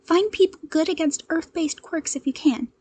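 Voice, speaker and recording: A woman's voice: very high-pitched, moderate pace, darkish tone, pitched above the performer's own voice. A woman voicing an urgent young female superhero in a fan audio story, panicked but determined, with breathless field reports. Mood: flustered, determined.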